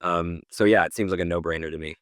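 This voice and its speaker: A man's voice: sits low, fairly even intonation, quick pace, fairly bright tone, dry recording, clean studio sound. A middle-aged man co-hosting a podcast, chatting casually about news in an informal, slangy way. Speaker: podcast co-host presenting industry news. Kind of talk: casual chat.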